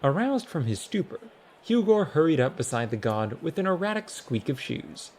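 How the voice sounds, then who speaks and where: A man's voice: a little high, big pitch swings, normal speed, a little mellow. A man narrating a comic fantasy audiobook in a wry, scripted storytelling style.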